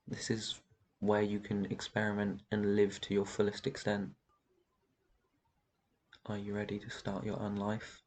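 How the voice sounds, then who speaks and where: Man as a low, gravelly, protective ancient vampire. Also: slight background noise. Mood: gentle, encouraging. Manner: soft shushing, reassuring, tender endearments. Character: very old, protective male vampire master, gentle and soothing. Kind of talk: roleplay ASMR, intimate one-sided dialogue.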